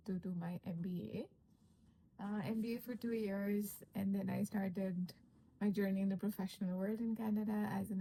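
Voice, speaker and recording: A woman's voice: medium pitch, vocal fry, mellow, breathy edge, poor audio. A woman, casually introducing herself as a panel guest. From Nepal. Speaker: panel guest, sales account executive.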